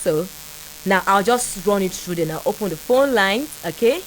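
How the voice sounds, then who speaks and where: Woman, Nigerian accent, radio French teacher, laughs often, drills phrases slowly, lively pitch. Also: a little hiss or hum, rough recording. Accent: Nigerian English. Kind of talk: call-in radio French lesson. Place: radio studio.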